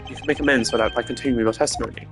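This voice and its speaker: A man's voice: medium pitch, fairly even intonation, racing, gravelly, lightly acted. A man voicing a stern, sardonic prosecutor in a mystery game, speaking formally, precisely and cuttingly. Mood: composed, probing. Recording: game music behind the voice.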